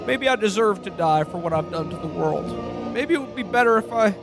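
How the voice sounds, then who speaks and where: A man's voice: high-pitched, lively intonation, a little fast, over music. A man playing a melodramatic, stammering podcaster in a comedic radio play. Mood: bewildered, distraught. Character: distraught, melodramatic podcaster shown a world without him.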